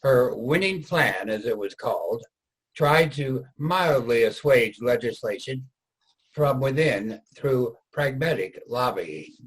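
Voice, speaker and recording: A man's voice: medium pitch, lively intonation, slow, slightly rough, low-quality recording. A man reading scripted historical narration for a reader's theater production.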